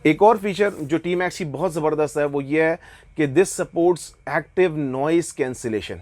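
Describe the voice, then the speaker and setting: Man, Pakistani accent, moderately high pitch, casual vlog-style sales pitch, enthusiastic explainer, frequent English code-switching. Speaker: online motorbike gear seller presenting products.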